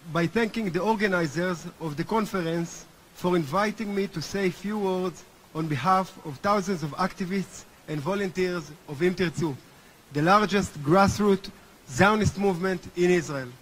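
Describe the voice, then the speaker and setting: Hebrew-accented man speaking in a reverberant hall. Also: sits high. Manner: earnest, deliberate, with occasional restarts. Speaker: movement chairman giving a speech. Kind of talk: formal conference speech. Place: large hotel conference hall.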